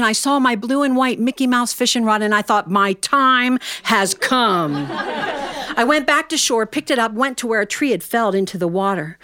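An American woman storyteller telling a comic tall tale in an animated way, with dramatic pauses and drawn-out words. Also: on the high side, clear, resonant tone, no room sound. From Ohio, United States. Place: studio in front of an audience.

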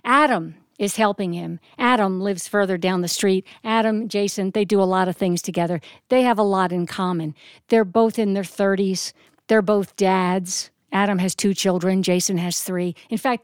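An American woman telling a story live on stage in an expressive, descriptive manner with deliberate phrasing. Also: medium pitch, clear, resonant tone, no echo, studio quality. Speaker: storyteller and actress. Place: performance studio.

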